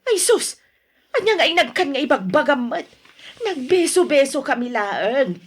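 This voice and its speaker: A woman's voice: high voice, very animated, normal speed. A woman playing an exasperated middle-aged wife in an Ilocano radio drama, speaking with an Ilocano accent in a nagging, exclamatory manner. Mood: indignant. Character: exasperated middle-aged wife scolding, then placating her husband.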